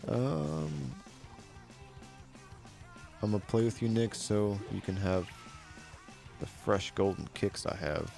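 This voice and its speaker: A young man with a very deep voice casually commenting on his game, laid-back and swearing a lot. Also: slow pace, creaky, pauses often, a little mellow, soft background music. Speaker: game streamer. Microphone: studio mic with bass roll-off.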